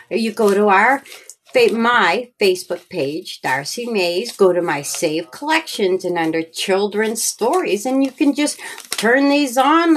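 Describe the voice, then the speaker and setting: A woman's voice: mid-range voice, a little hiss or hum. A woman hosting a storytime and reading her own children's story aloud, speaking simply and directly to young listeners. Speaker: children's story author and reader.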